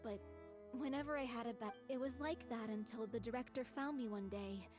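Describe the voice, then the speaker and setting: A woman's voice: on the high side, a little fast, close to an everyday voice. A woman playing an earnest, caring and outspoken young troupe singer in a video game, speaking articulately. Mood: wistful. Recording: music behind the voice.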